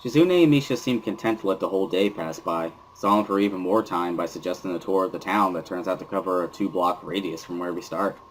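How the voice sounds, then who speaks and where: A man with a fairly low voice reads scripted first-person narration. His delivery is wry and dry, like an inner monologue, and music plays behind him. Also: fast, hardly any pauses, slight background noise, low-quality recording. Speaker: narrator of a visual novel reading.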